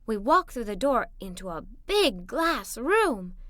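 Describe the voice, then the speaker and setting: A woman narrating a children's story in an expressive, dramatic read-aloud style. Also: fairly high pitch, slow pace, no echo, clean studio sound.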